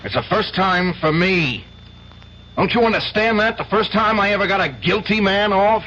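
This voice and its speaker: A man's voice: high-pitched, normal speed, sharp tone. An actor playing an idealistic, hot-tempered young male lawyer with an American accent, sarcastic and argumentative, in 1970s radio drama style. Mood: heated. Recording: dull, noisy old radio broadcast.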